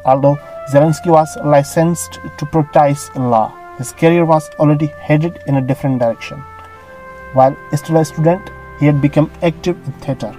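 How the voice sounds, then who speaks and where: A man narrating a scripted biography in a steady, even reading. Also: a little high, low-quality recording.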